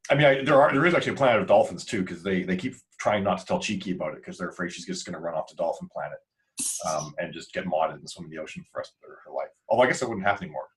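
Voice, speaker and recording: Low-voiced man chatting casually. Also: lively intonation, very fast, low-quality recording. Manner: rambling, self-interrupting. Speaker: author, podcast crew member. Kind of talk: casual podcast chat.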